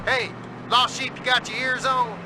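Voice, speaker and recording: An actor playing a boisterous, jaunty country mechanic with a Southern American accent, hollering CB radio calls. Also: male voice, extremely high pitch, little pitch movement, moderate pace. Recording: very noisy vehicle and outdoor ambience.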